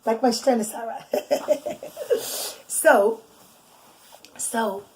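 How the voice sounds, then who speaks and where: Woman, mother, bedtime story, soft, hushed, slightly high voice. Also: drawn-out words, slight background noise, low-quality recording. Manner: soft, often drops to a whisper; explains Hebrew terms as she goes.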